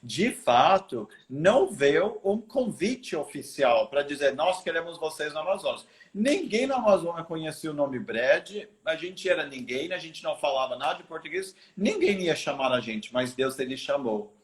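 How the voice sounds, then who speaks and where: A man's voice: fairly high pitch, animated, moderate pace, few pauses. An American man, a missionary guest, telling stories earnestly over a video call, with frequent restarts and a noticeable American accent. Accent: American English. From United States. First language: English. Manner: earnest storytelling with many restarts. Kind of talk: live video interview.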